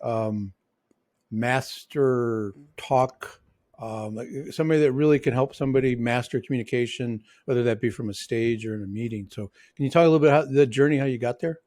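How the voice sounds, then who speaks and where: A man's voice: mid-range voice, a little fast, a little mellow, no room sound, clean studio sound. A man with a mixed Midwestern and New York accent hosting a casual podcast interview, rambling with lots of restarts and fillers. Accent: Midwestern and New York American. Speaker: podcast host.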